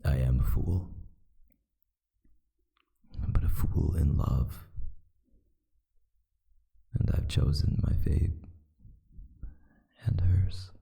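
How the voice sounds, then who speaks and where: A man voicing a brooding, lovesick vampire boyfriend in a whispered ASMR roleplay, breathy and gravelly, murmuring intimately and tenderly with long pauses. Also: very deep voice, mellow, full character voice. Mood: tender, adoring.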